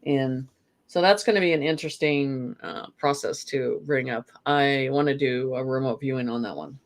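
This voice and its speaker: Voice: mid-range voice, normal speed. A guest in a spiritual conversation, talking in a meandering way with frequent "you know" fillers.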